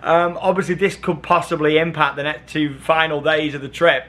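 A man's voice: a little high, flat delivery, normal speed, a bit bright. A British man, probably in his thirties, narrating a travel vlog in a casual, chatty way and repeating himself. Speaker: travel vlogger. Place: varies: open car park, moving car, hotel room. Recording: clear background noise, car and outdoors.

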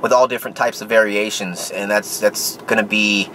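Casual vlogger man in his thirties, bright tone, talks to a close camera from a parked car. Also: on the low side, steady tone, moderate pace, a little hiss or hum, low-quality recording. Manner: plain, rambling, many fillers and restarts. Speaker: vlogger, powerlifter. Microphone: handheld camera close to face.

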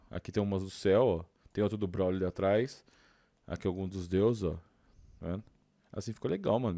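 A man's voice: low-pitched, warm tone, no echo. A young man with a Brazilian accent giving casual gameplay commentary, informal and slangy, thinking aloud. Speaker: gaming video host.

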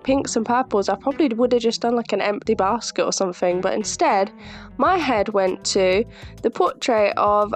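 A young woman with a slightly croaky voice from a sore throat, chatting casually as she narrates a voiceover for her art video and laughing often. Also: fairly high pitch. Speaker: art channel host.

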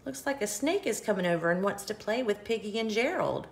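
A woman reading a picture book aloud for children, expressive, with questioning asides to young listeners. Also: medium pitch, brisk, slight background noise. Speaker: children's story reader and host.

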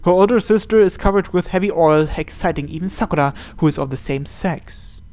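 A man's voice: sits high, brisk, darkish tone. A man narrating a visual novel aloud as host, reading the scripted text.

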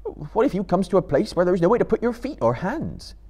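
A stubborn, gloomy hobbit servant, put on by a male reader who grumbles in plain rustic speech. His voice rides loud and raised above the narration, with a lively rise and fall. Audiobook storytelling. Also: sits high, a little fast, slightly rough, pitched above the performer's own voice, heavily acted. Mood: grumbling, stubborn.

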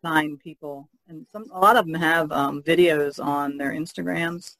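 A man's voice: a little high, steady tone, measured pace, creaky, pushed and bright, low-quality recording. A man chatting casually as a panelist on a podcast. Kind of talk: casual chat.

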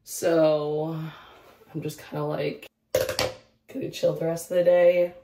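Young woman, twenties, hoarse from losing her voice; casual rambling vlogger, slightly low pitch, camera held at arm's length. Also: flat delivery, slow pace, warm tone, reverberant, distant mic. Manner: rambling, lots of "like" and "I don't know". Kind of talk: casual vlog chat. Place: car, bedroom and outdoor park. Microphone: phone or camera held at arm's length.

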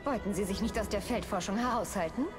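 Young woman assassin voiced by an actress, confident, brisk, teasing, Victorian video game, music in the background. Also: mid-range voice, natural voice. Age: young adult. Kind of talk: German video game dub acting.

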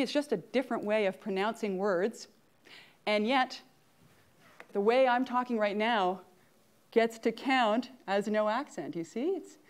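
A woman in her forties with a Canadian accent, giving an interactive lecture on an auditorium stage and often checking in with the audience. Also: fairly high pitch. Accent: Canadian English. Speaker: guest speaker at grand rounds.

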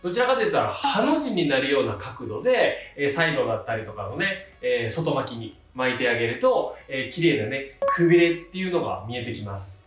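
A man in his thirties presents a practical how-to in a casual, polite explanatory way. His medium-pitched voice moves up and down in an animated fashion. It is recorded at a distance in a roomy, echoing space with faint music behind. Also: brisk, hardly any pauses. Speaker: hairdresser giving a tutorial, channel host. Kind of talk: casual step-by-step instructional narration. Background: faint background music.

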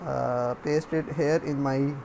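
A man hosting an unscripted programming tutorial, explaining in a halting, repetitive way. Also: mid-range voice, fairly even intonation, vocal fry, noisy background. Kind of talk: unscripted software tutorial narration.

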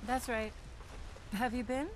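An actress playing a genteel, unsure city-bred widow in a western video game, speaking in a refined, hesitant way with an American accent. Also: female voice, a little high, steady tone, unhurried, lightly acted. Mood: wry. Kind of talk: naturalistic video game acting. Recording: clearly noisy, outdoor ambience behind.

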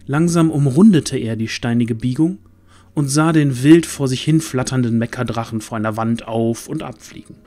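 A man's voice: medium pitch, normal speed, warm tone. A man narrating a scripted fantasy audio drama in a dramatic storytelling style.

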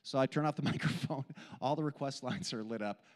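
An older man from Switzerland, Swiss German his first language, giving a humorous sermon with animated, self-deprecating storytelling into a handheld mic on an indoor stage. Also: mid-range voice, brisk. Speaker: camp speaker. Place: indoor hall stage with audience. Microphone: handheld microphone. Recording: long hall reverb.

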